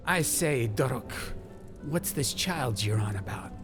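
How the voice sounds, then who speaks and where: A man voicing an urbane, witty London gentleman in an audiobook, with flowery, formal, old-fashioned phrasing. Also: mid-range voice, lively intonation, measured pace, voice pushed up, lightly acted. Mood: droll, chatty. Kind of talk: audiobook character dialogue. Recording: music under the voice.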